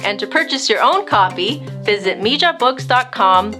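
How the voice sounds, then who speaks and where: A woman hosting a children's storytime, reading a picture book aloud in an expressive, measured way addressed to young listeners. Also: fairly high pitch, music in the background.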